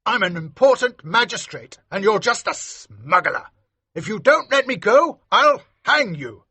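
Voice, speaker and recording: A man's voice: very animated, a little slow, sharp tone, husky, no room sound, full character voice. A man voicing a pompous, cowardly magistrate who shrieks and begs in a shaky voice. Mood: arrogant. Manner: shrill, shaky, begging. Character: cowardly, pompous male magistrate, panicky and pleading. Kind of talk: audiobook character voice.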